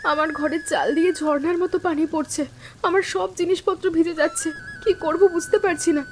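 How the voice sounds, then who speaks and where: Timid young sister-in-law, high voice, anxious, pleading, earnest, cartoon dialogue, faint rainy noise behind. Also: female voice, steady tone, fairly quick. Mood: frightened. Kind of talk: animated story dialogue.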